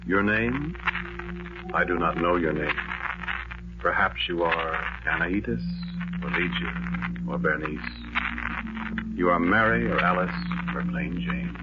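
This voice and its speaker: Lovelorn dreamer, very low voice, poetic measured delivery, radio play, muffled recording, heavy noise. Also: male voice. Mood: wistful, dreamy. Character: wistful lovelorn man recalling lifelong search for love. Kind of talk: 1940s radio drama monologue.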